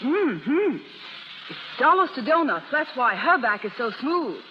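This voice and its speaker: An actress in a 1930s radio advertisement playing an excited, chatty, gossipy young woman. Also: high-pitched, unhurried. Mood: admiring, excited. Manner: gushing, chatty. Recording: muffled, reverberant, noisy 1930s radio broadcast.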